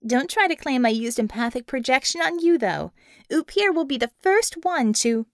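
Woman acting a confident, high-voiced superheroine leader. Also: lively intonation, normal speed, dry recording, studio quality. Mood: calm, firm. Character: confident, sly, persuasive American superheroine leader.

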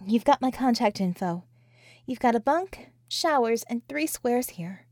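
A woman voicing a brisk, sassy but caring female friend in an audiobook, with breezy, slangy, bossy delivery. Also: on the high side, expressive, a little mellow, no echo, clean studio sound. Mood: brisk, instructing. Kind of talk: audiobook character dialogue.

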